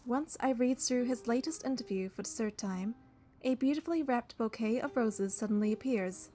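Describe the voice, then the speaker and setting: A woman's voice: a little high, normal speed, mellow. A woman reading a video game's story text aloud, with casual asides as she plays.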